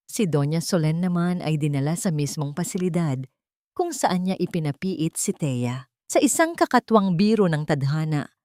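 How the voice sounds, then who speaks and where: A woman with a fairly low voice reads a melodramatic story aloud. She narrates with expressive, dramatic swings in her delivery. The sound is dry and close, with no room echo.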